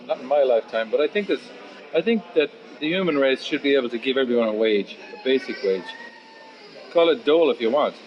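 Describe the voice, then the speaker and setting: A man of fifty talks in a casual, philosophical interview. His manner is blunt and sweary, wandering off into animated asides. His mid-pitched voice is caught on a dull, lo-fi recording. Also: fast talker, a little hiss or hum. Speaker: musician and guitar teacher being interviewed.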